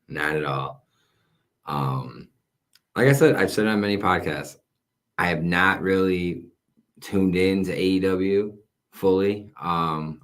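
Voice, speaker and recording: Brief: thirties male podcast host, very low voice, rambling, self-mocking chat. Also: a bit bright. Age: 30s. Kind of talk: casual wrestling podcast chat.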